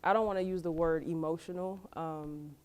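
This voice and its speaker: A woman's voice: low voice, steady tone, normal speed, a little mellow. Passionate emphatic woman in her thirties. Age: 30s. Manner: passionate, emphatic, laughs often. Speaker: interviewee, single woman dating. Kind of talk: casual interview talk.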